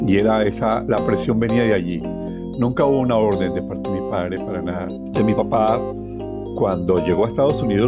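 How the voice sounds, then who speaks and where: A middle-aged man with a Colombian accent recounts his own life as a personal story, phrasing deliberately and repeating words for emphasis. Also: fairly low pitch. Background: plucked guitar music.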